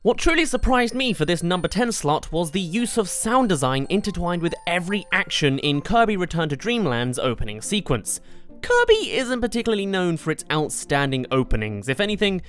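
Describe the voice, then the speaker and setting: Countdown-video narrator, expressive and emphatic, lively swings in pitch, music underneath. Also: brisk. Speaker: video game reviewer, host. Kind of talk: scripted countdown review narration.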